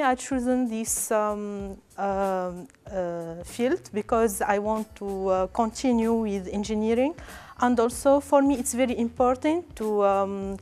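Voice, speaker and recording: A woman's voice: on the high side, a little mellow. Young woman, hesitant student testimonial. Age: young adult. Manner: hesitant, many fillers. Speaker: master's student. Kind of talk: testimonial interview. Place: classroom with banner behind. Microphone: clip-on lapel mic.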